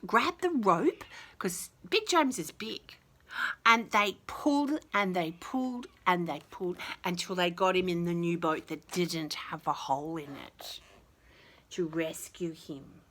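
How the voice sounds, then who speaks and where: A woman reading a picture book to young children with chatty, gushing commentary and expressive, exaggerated emphasis. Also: lowish voice, a little hiss or hum. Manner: gushing, enthusiastic, talking to small children. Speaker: children's storyteller and host.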